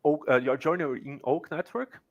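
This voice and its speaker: A man in his thirties hosting an interview, asking questions slowly and haltingly with many restarts. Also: on the high side, no echo, lo-fi sound. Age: 30s. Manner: halting, repeats words, slow. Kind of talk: casual interview.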